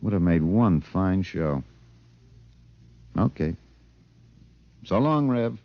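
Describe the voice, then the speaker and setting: An excitable 1950s radio reporter. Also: male voice, sits low, slow pace, creaky, a little hiss or hum, full character voice. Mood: resigned. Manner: urgent, stammering delivery. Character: excitable, insistent male radio reporter, breathless then resigned. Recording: dull vintage radio broadcast recording.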